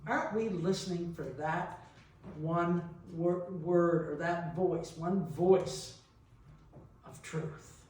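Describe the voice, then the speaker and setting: Guy preaching slowly, lots of pauses, super echoey church, mic sounds far off. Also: fairly high pitch, a little mellow. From New Brunswick, Canada. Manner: deliberate, with long pauses and rhetorical questions. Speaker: preacher giving a sermon. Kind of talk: reflective sermon with personal anecdotes. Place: large reverberant church interior. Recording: echoey church, picked up from a distance.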